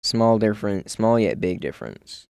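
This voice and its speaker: A man's voice: lowish voice, very animated, normal speed, gravelly, a little mellow, clean tone, no echo. A male streamer chatting casually while building in a game, muttering to himself and calling his viewers "bro".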